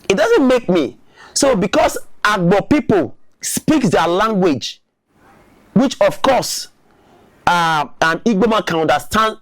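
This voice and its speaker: A man's voice: high-pitched, fairly bright tone, no room sound. Emphatic man in a dry room. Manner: emphatic, argumentative, repeats words. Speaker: online commentator. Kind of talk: opinion commentary to camera.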